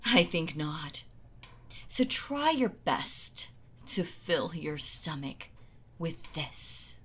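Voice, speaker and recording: A woman's voice: sits low, big pitch swings, slight background noise, full character voice. A woman playing a possessive, sweetly cruel yandere wife in an intimate roleplay audio drama, gloating and mock-tender as she toys with her captive. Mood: cold taunting. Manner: slow taunting delivery, breathy soft laughs, mock-tender.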